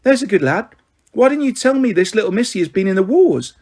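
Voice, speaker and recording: A man's voice: high-pitched, animated, a little fast, a little mellow, voice pushed up. Man putting on a fussing, motherly working-class mum. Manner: fussing, affectionate, scolding.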